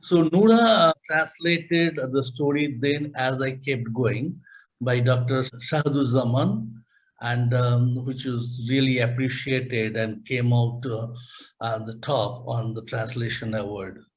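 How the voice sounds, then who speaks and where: A middle-aged man interviewing a guest on a video call, halting, with many ums and repeated words. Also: mid-range voice, fairly even intonation, poor audio. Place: small indoor room. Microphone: webcam on video call.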